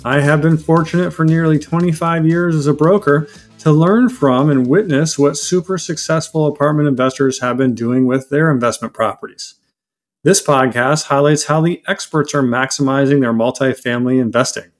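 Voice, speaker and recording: A man's voice: fairly high pitch, fairly even intonation, normal speed, faint music behind. Middle-aged male podcast host. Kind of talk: podcast intro and interviewing.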